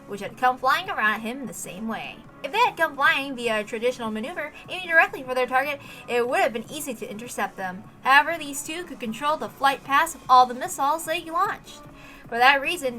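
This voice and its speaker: A woman reading a story aloud as a narrator, with an animated, emphatic dramatic delivery. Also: high voice, rough recording. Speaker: reader narrating a visual novel.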